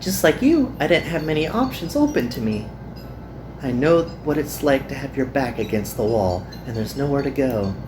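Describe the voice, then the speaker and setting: A woman voicing a kind, earnest young magical girl in a visual novel, with gentle and formal phrasing. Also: very deep voice, very animated, moderate pace, background noise. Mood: hopeful.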